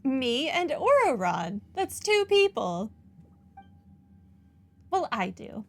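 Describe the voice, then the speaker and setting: A woman's voice: high voice, sing-song, slow. A woman doing casual playthrough commentary and reading dialogue aloud, gushing in manner. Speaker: gaming streamer.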